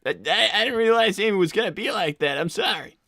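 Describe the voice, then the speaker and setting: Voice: mid-range voice, animated, fast, gravelly, fairly bright tone, no echo, studio quality. A performer voicing a nervous, awkward young boy fox who stammers and rambles earnestly in improvised cartoon roleplay. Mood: apologetic, flustered.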